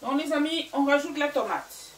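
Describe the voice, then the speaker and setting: A woman's voice: sits high, moderate pace, reverberant, distant mic, slight background noise. A woman from Gabon and Equatorial Guinea, French not her first language, chatting through a home cooking vlog with many fillers. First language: Fang or another local language of Equatorial Guinea. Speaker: cooking channel host. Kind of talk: casual cooking vlog talk. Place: home kitchen.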